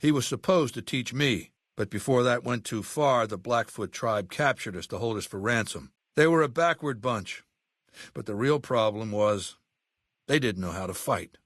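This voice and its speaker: An imperious male warlord from a video game, ruthless and learned. His voice sits at a medium pitch and is commanding and articulate, with open contempt for lesser minds. The sound is dry, with no room around it. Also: animated, normal speed, a bit bright, dry recording. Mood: scornful, reminiscing. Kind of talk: video game character acting.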